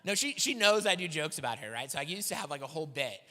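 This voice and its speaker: A young man doing a stand-up set in a church hall, with a high voice for his size and a self-deprecating, animated way of telling stories. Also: fast. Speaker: stand-up comedian. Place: church hall with live audience. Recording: long hall reverb from a church stage.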